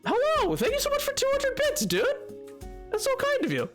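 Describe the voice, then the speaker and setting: A man's voice: very high-pitched, wildly swooping pitch, moderate pace, a bit bright, soft background music. A young man streaming a game, chatting casually with his chat, rambling and restarting his sentences as he reads messages. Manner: rambling, restarts and laughs while reading chat.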